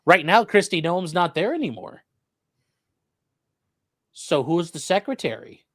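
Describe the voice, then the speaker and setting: A man's voice: high voice, sing-song, unhurried, fairly bright tone, no room sound, studio quality. A man hosting a live stream, reading out viewer questions and giving casual legal commentary with wry, joking asides.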